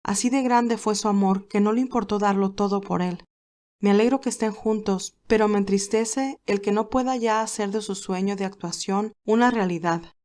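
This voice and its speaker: A woman voicing a tender, sincere, nostalgic young woman in a dramatized romantic story, speaking earnestly and gently. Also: mid-range voice, normal speed, close to an everyday voice. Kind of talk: dramatized romantic fan fiction.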